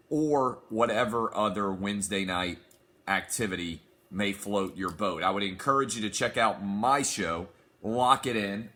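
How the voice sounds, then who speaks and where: A forceful male sports talk host with a slightly low, sharp-edged voice. He is sick and a little hoarse but pushes through a confident, emphatic solo monologue, moving easily from ad reads to opinion. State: sick, losing his voice.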